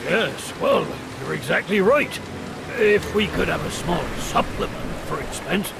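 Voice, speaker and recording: A man playing a whiny, haggling pirate captain in a cartoon game, gravelly and defensive. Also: high voice, animated, a little slow, heavy background noise, exaggerated voice. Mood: grudging. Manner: defensive, blustering. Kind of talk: video game cartoon acting.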